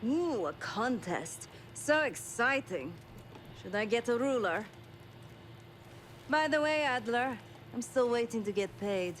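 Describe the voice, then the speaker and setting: A woman's voice: on the high side, animated, takes it slowly, pauses often, a bit bright, slight background noise. An actress voicing a mocking, bored female mercenary in a video game, drawling with sarcasm. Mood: mocking, dry. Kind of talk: cinematic video game acting.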